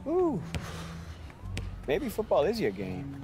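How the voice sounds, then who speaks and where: A man's voice: sits high, huge pitch swings, takes it slowly, noisy background, heavily acted. A man playing a proud, teasing father and basketball star in a video game, with a cocky manner. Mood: teasing, lecturing. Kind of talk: cinematic video game acting.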